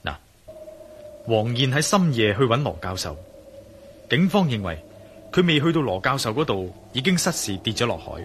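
An actor playing an inquisitive, bold male adventurer in a radio drama, with a Hong Kong Cantonese accent and a deliberate, pondering manner. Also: mid-range voice, sing-song, slight background noise, close to an everyday voice. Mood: pondering, determined. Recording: radio drama with clear music behind.

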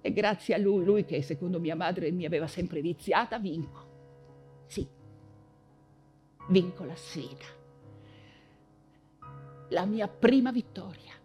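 An actress playing an imprisoned, nostalgic Russian exile writer in an intense, halting theatrical monologue, repeating words for emphasis. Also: female voice, on the low side, mellow. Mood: bitter, defiant. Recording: music under the voice. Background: music.

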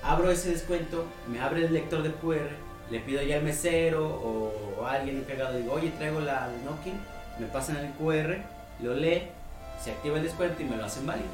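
A man's voice: fairly high pitch, fairly quick, echoey room, over music. A man with a Mexican Spanish accent, chatting casually on a podcast and explaining things step by step. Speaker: podcast host. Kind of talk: casual chat.

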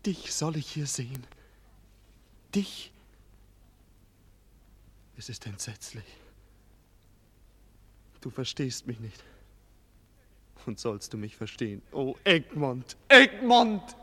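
A man's voice: mid-range voice, big pitch swings, slow, pauses often, husky, exaggerated voice. An actor playing a tender, impassioned young nobleman in despair, declaiming emotionally in a 1940s German radio play. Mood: distraught outburst. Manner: emotional, declamatory, bursting from subdued grief into loud outcries. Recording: vintage 1940s radio studio recording.

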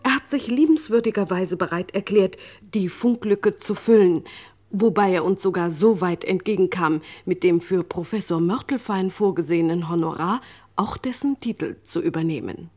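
An actress playing a formal, deadpan female radio announcer in an old German radio comedy, politely apologetic and measured. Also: lowish voice, close to an everyday voice. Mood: formal, straight-faced.

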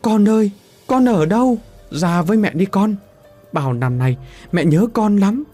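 A man's voice: mid-range voice, sing-song, moderate pace, mellow, breathy edge, faint music behind. A man voicing a vengeful female ghost who speaks in a raised, anguished voice. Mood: anguished longing.

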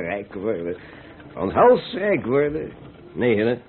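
An actor playing a jovial middle-aged master painter, teasing and chuckling, in an old Dutch radio play. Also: male voice, medium pitch, big pitch swings, takes it slowly. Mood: boisterous, jovial. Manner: hearty, chuckling, repeats himself. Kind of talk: old radio drama acting. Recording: tinny, noisy old radio play recording.

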